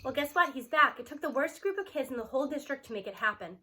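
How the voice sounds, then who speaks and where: High, bright woman reading a story aloud. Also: lively intonation, fast talker. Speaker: read-aloud narrator. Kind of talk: expressive storybook read-aloud in first person.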